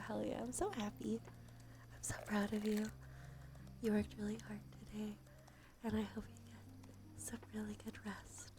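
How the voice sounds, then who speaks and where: Female ASMR livestream host: hushed, often whispered, gravelly fry, congested from allergies, informal and affectionate, faint music underneath. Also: on the high side. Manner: breathy, frequent drops into whisper; casual, sweary, affectionate. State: sniffly from allergies; sore, tired throat.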